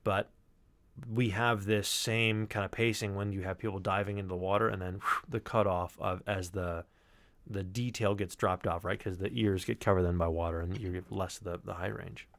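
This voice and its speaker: Man, low voice, dry close sound, sound designer, casual walkthrough, halting, thinking aloud, occasional swearing. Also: steady tone, vocal fry, a bit bright, no room sound. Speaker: sound designer presenting work. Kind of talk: casual technical walkthrough on a livestream.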